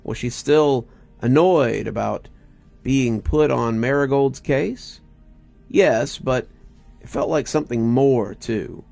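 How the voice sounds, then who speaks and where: A man's voice: medium pitch, takes it slowly, creaky, darkish tone. A man narrating a scripted story in a dramatic reading style. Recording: music bed under narration.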